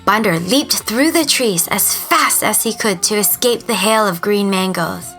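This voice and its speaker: A woman storyteller reading a scripted children's tale expressively, with deliberate dramatic emphasis. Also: mid-range voice, fairly bright tone, faint music behind.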